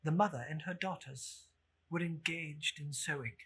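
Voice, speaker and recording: A story narrator giving a theatrical, measured dramatic reading of a literary tale. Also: medium pitch, fairly bright tone, breathy edge.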